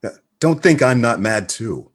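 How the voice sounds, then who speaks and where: A man playing a hurt, indignant radio newsman who speaks haltingly and emotionally in a naturalistic comedy drama. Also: medium pitch, lively intonation, lightly acted. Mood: annoyed.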